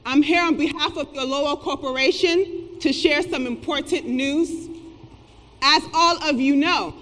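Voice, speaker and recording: A haughty rent collector on stage, high and sharp, drawing out curt, uncaring lines slowly. The voice rings in a large hall. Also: female voice, full character voice. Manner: slow, deliberate, curt, dismissive. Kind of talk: theatrical stage-play acting. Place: theatre stage, picked up in the hall.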